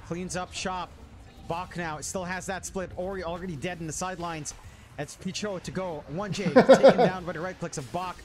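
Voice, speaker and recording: A man's voice: sits high, normal speed, crowd noise. A man working as an esports caster, mixing live game commentary with casual chat. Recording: clearly noisy stream audio.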